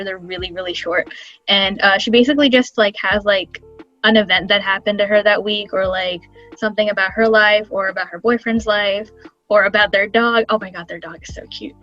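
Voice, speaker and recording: A woman's voice: mid-range voice, pushed and bright. Enthusiastic woman on muffled audio. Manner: gushing, enthusiastic, heavy use of "like". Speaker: podcast co-host. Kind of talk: casual chat.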